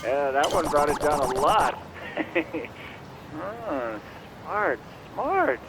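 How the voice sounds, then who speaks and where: Quirky, geeky computer whiz, noisy background. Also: male voice, fairly high pitch, very animated, extremely slow, exaggerated voice. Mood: impressed, teasing. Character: geeky, chuckling, eager male computer-search expert. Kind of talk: video game cutscene dialogue.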